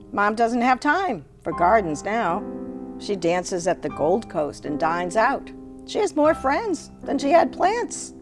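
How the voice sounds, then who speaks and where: Slow, theatrical woman reading a story. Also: mid-range voice. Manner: expressive, theatrical, deliberate. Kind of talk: dramatic reading of personal story. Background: music.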